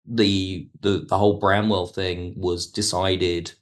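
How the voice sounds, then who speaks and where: A man speaking as an expert guest in an interview, explaining things in a measured, digressive way. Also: low-pitched, level tone. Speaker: podcast guest, television history expert. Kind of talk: conversational interview commentary.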